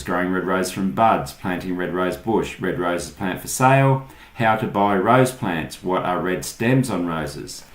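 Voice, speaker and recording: Low-voiced Australian man in an echoey room. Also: slight background noise. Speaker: online marketing tutorial host. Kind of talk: informal screen-share tutorial, explaining step by step. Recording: echoey, roomy sound.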